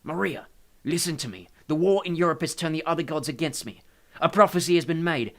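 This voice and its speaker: A man's voice: fairly high pitch, lively intonation, a little fast, voice pushed up. A man voicing an anxious, protective, brooding god of the underworld who pleads urgently, in a storybook. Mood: agitated pleading.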